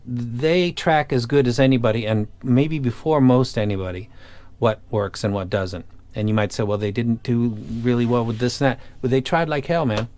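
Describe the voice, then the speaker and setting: A man's voice: mid-range voice, moderate pace, darkish tone. A man talking casually and off the cuff as an online audio gear reviewer, blunt, opinionated and colloquial. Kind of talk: casual unscripted review talk.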